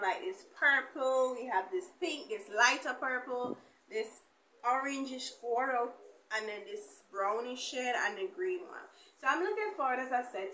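Young female beauty vlogger, high bright voice, chatty and colloquial, recorded in an echoey room. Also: a little hiss or hum. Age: young adult. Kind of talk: casual chatty makeup haul to camera. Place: small indoor room with bare wall.

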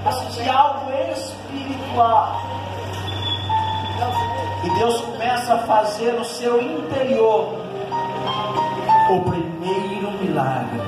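A man in his thirties with a Northeastern Brazilian accent, preaching a passionate sermon that surges loud and then drops low, into a handheld mic in a small church hall. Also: expressive, slow pace, long pauses. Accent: Northeastern Brazilian Portuguese. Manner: impassioned preaching, surging then dropping low. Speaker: pastor. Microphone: handheld mic at a pulpit. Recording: echoey hall, distant camera, loud music.

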